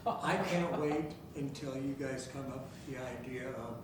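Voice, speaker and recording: A man in an audience making a casual, rambling comment, halting as he searches for words. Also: mid-range voice. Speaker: audience member. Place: public room at a library event. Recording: very echoey noisy room, far from mic.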